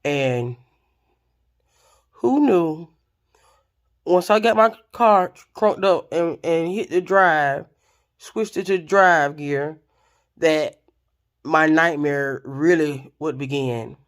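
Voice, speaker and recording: A woman's voice: deep voice, slow pace, long pauses. A woman, casually telling a story to her viewers. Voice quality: raspy voice. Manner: chatty, expressive, addresses viewers directly. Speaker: vlogger.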